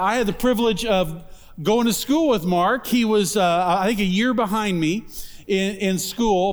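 A man with a high voice introducing a guest preacher, full of eager praise. His words echo with a long reverb in a large church hall. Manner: enthusiastic, warm praise of the guest. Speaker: pastor introducing a guest speaker.

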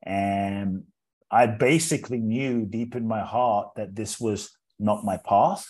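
A man in his forties who grew up in England, a speaker telling his life story as an interview guest in an earnest, measured way, often repeating words as he builds a thought. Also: fairly low pitch, steady tone, darkish tone. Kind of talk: reflective personal storytelling in an interview.

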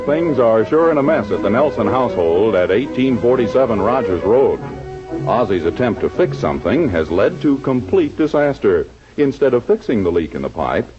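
Deep, swooping old-radio announcer man. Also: very animated, normal speed, music in the background. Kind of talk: 1940s scripted radio narration. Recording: dull old radio recording.

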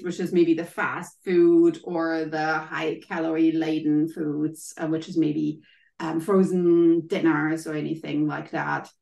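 A woman's voice: deep voice, creaky, a little mellow, reverberant. A German woman with a German accent, a guest coach explaining things in casual interview answers, with frequent ums and maybes. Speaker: guest, health and happiness coach.

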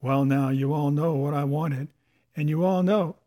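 A man's voice: on the high side, lively intonation, unhurried, a little mellow. A man voicing a cunning, defiant pirate captain in a storybook, with sarcastic questions and rustic sailor's talk. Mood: indignant, vehement. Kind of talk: dramatic audiobook character voice.

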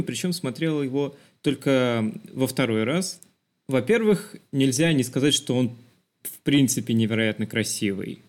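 A man co-hosting a podcast, discussing film in a thoughtful, explanatory conversational way. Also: mid-range voice. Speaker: podcast co-host.

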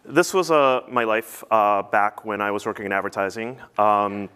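Casting: man in his thirties, conference speaker, loose and rambling with lots of "uh"s, smooth clear voice. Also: on the low side, moderate pace, pushed and bright. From born in Peru, grew up in the US. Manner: rambling, many fillers and restarts. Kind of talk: recorded conference talk.

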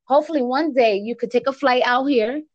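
A woman from Connecticut with an East Coast accent, hosting a casual chat in an excitable way, with restarts and laughs. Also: sits high, brisk, no room sound, lo-fi sound. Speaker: host.

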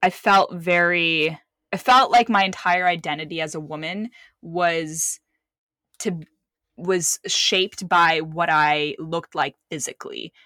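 A woman's voice: on the low side, takes it slowly, sharp tone, no echo, clean studio sound. A young woman from the Middle East, a filmmaker guest, telling personal stories in a candid, rambling way with many restarts. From Middle East (born Saudi Arabia, raised Lebanon). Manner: rambling, self-correcting, acts out quoted voices. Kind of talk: candid conversational storytelling.

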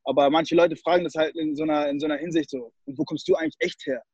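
A man's voice: on the high side, fairly even intonation, rapid-fire, darkish tone, breathy edge, dry recording, highs cut off. A young man from Germany, a guest in a casual interview, who slips English phrases into his German. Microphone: call recording.

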